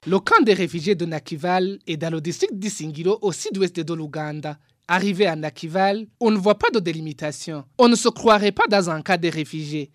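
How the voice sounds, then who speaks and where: A man presenting a weekly radio magazine, reading his script in a measured, formal way. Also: sits high, no room sound, clean studio sound. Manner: measured, formal read delivery.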